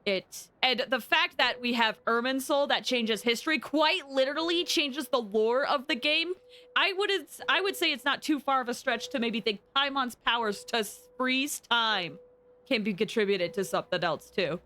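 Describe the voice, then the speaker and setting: A woman streaming a game with animated, rambling commentary and dramatic outbursts. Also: fairly high pitch, moderate pace. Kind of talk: excited live gameplay commentary and theorizing.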